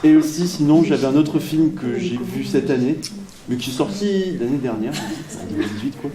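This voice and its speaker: A man's voice: on the high side, expressive, normal speed, recorded from a distance. A teenage boy in a casual group chat, talking enthusiastically. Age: teens. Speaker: student interviewer. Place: busy indoor venue. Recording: echoey room with crowd chatter.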